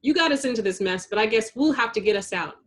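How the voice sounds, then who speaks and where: A woman's voice: a little high, expressive, fast talker, close to an everyday voice. A woman voicing a bossy, tough little girl superhero from a children's storybook, curt and punchy. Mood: irritated.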